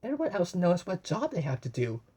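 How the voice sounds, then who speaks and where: Woman doing a husky little boy puppy, childlike. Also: on the low side, huge pitch swings, brisk, warm tone, pitched above the performer's own voice, exaggerated voice. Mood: discouraged. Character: little brother cartoon puppy, unsure, curious and childlike.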